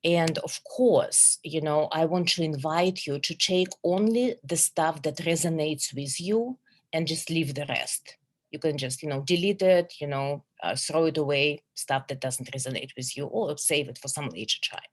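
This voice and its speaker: A middle-aged woman from Russia with a Russian accent, a psychologist giving an earnest, measured webinar presentation with many fillers and restarts over a video call. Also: low-pitched.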